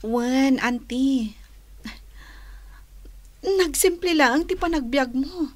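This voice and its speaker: A woman's voice: high-pitched, extremely slow. A woman in an Ilocano radio drama playing a young, well-bred niece, inquisitive and incredulous, full of questions and exclamations. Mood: admiring, curious.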